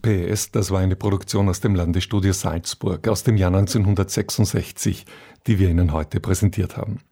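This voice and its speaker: A man radio announcer calmly giving program notes, with a husky voice. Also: low voice, a little fast.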